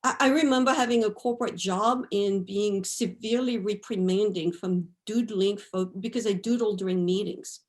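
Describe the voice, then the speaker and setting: A woman's voice: mid-range voice, moderate pace, few pauses, a little mellow. A woman, a guest artist talking reflectively about her life, often adding "right?" and "you know". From France. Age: 50s. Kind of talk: reflective interview talk.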